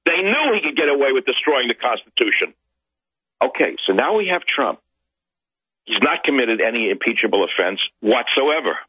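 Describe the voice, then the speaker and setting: A man with a husky voice, ranting indignantly as a talk show guest. The sound is dry and dull, like a muffled line. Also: mid-range voice, sing-song, normal speed, no echo. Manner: indignant, ranting, climbs to loud outbursts. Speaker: guest commentator. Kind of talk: political talk show interview.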